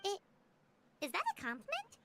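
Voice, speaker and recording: Squeaky little childlike fairy. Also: female voice, wildly swooping pitch, normal speed, exaggerated voice. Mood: whiny, indignant. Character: tiny childlike fairy companion, excitable and naive, speaks in third person. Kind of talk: video game voice acting. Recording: music behind the voice.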